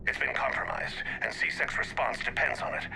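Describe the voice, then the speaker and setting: An actor voicing a grave, controlled male alien assassin in a sci-fi video game, gravelly and urgent, over a radio call. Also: medium pitch, brisk, sharp tone, a little hiss or hum, poor audio.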